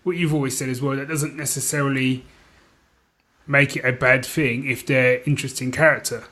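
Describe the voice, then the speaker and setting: A man's voice: medium pitch, fairly even intonation, moderate pace, bright, forceful tone. A British man chatting informally as a podcast co-host, often checking that his listener follows. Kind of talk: casual chat.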